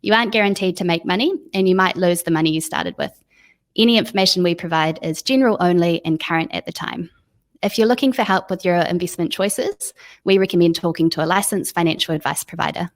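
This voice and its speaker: A woman's voice: on the low side, fast. A woman hosting a webinar, introducing and moderating in a prepared, presentational style. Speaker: webinar host.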